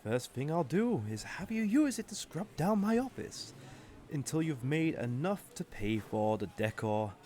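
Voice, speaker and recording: An actor playing a haughty, sardonic villain commander in a roleplay audio drama, condescending and drawling, with mock-sweet menace. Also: male voice, a little high, big pitch swings, a little slow, dark tone. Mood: sarcastic, threatening.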